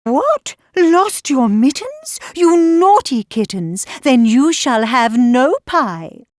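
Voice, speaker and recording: A woman's voice: medium pitch, animated, slow pace, darkish tone, no echo, studio quality. A woman voicing a stern but fond mother cat, exclaiming and admonishing in a nursery rhyme. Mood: scolding.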